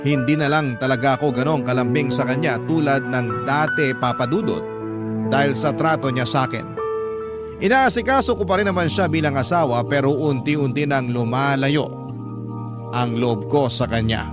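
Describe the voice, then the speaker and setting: A man's voice: medium pitch, normal speed. A man reading a first-person story dramatically as a radio storyteller. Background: background music.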